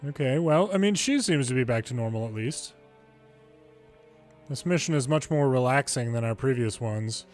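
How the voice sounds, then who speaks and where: A man's voice: medium pitch, lively intonation, normal speed, darkish tone, soft background music. A man reading a video game's story dialogue aloud in English, as a longplay narrator.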